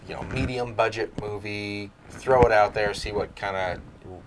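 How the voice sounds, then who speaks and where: A man's voice: fairly low pitch, fairly even intonation, moderate pace, background noise. A man co-hosting a casual entertainment discussion, giving his opinions in an informal, loosely phrased way. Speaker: co-host of a video game and movie discussion show. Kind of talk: casual opinionated chat about entertainment news.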